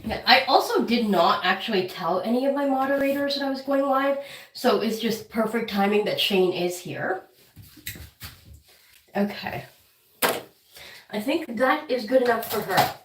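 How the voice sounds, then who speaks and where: Stuffy-nosed woman with a cold, chatty and fond with her pets, slightly high voice, echoey room, kinda far off the mic. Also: expressive, a little slow, long pauses. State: congested with a head cold, clearing throat. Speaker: guinea pig channel host. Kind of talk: casual livestream chat while caring for pets. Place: room at home, weighing pets on a counter.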